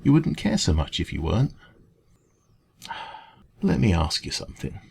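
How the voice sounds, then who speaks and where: A man with an English accent playing a kindly, gentle café dragon in an ASMR comfort roleplay, speaking in a hesitant, informal, reassuring way. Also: low-pitched, huge pitch swings, unhurried, creaky, slight background noise, full character voice. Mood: earnest reassurance.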